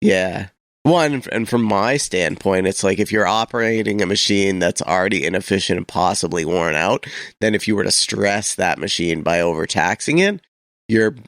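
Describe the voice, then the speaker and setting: A man's voice: sits low, normal speed, fairly bright tone, dry recording, clean studio sound. A man co-hosting a local radio show, chatting casually and explaining things in informal but technical terms. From Canada.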